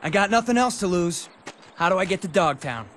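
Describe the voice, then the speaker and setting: A man playing an eager, naive young skater in a video game, casual and excitable. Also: high-pitched, a little fast, a little hiss or hum. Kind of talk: video game cutscene acting.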